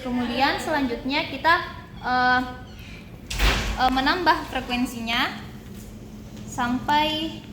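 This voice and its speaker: A young woman giving a halting, step-by-step practical demonstration as a physiotherapy student. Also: high-pitched, noisy background. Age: young adult. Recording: echoey room with loud background chatter.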